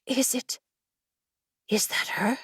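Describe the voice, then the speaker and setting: A woman voicing an eager, curious female story-seeker in a fantasy audiobook, earnest and excitable. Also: slow, dry recording, studio quality. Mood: excited.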